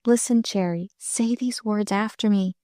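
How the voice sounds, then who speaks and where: A tender minister's daughter speaks softly and evenly, her gentle voice calm and reassuring, as a woman narrator plays her. The sound is close and dry. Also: mid-range voice, measured pace, dry recording, lightly acted. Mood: soothing. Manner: gentle, soft, soothing.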